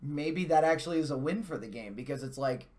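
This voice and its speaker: A man hosting a video game show, giving casual, unscripted commentary on games and films in an animated way. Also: fairly high pitch, brisk.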